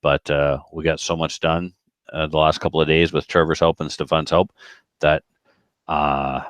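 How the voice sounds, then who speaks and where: A man with a low voice talks casually on a podcast. He rambles in everyday language and likes to act out what people said. The recording is dry and close, with little room sound. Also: level tone, normal speed, dry recording. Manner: rambling, colloquial, with acted-out quotes. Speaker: podcast speaker who organizes shooting matches.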